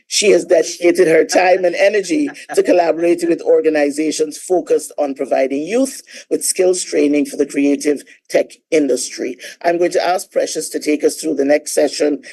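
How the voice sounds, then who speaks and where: A woman hosts an online event. Her voice is low for a woman and soft-edged, with a mellow tone. She introduces the people who come next. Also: low-quality recording. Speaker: event host. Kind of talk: introducing speakers. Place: online video session.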